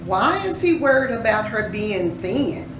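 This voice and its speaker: A woman reading a children's story aloud in an expressive, teacherly way, pausing to put questions to her listeners. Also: mid-range voice. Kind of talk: dramatic read-aloud with questions to young listeners. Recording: roomy echo, distant mic, faint noise.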